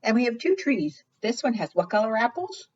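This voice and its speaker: A woman's voice: fairly high pitch, big pitch swings, fairly quick, a little mellow. A woman leading a preschool storytime, reading aloud expressively and putting questions to her young listeners. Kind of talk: read-aloud for young children. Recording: dull lo-fi sound.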